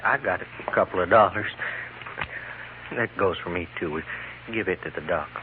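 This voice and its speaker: An actor playing a simple, loyal young cowhand in a 1950s radio western, earnest and plain-spoken with a folksy drawl. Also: male voice, low-pitched, moderate pace, lightly acted. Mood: anxious, earnest. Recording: muffled radio with background noise.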